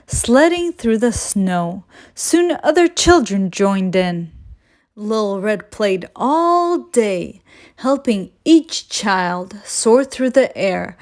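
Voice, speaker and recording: A woman hosts a storytime, reading a picture book aloud for children. She takes her time with very slow phrasing and an expressive delivery. Her voice sits at an average pitch. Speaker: children's storytime reader and host.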